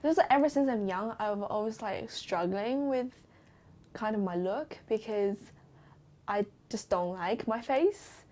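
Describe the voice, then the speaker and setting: A woman's voice: medium pitch, unhurried, darkish tone. A young woman from China answering interview questions frankly, with frequent "like" fillers. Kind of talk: documentary interview.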